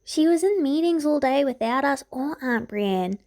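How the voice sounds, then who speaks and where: Woman playing an earnest, high-voiced young princess. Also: animated, moderate pace, darkish tone. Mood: earnest, confiding. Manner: chattering, earnest, run-on explanations. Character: earnest nine-year-old princess, eldest sister.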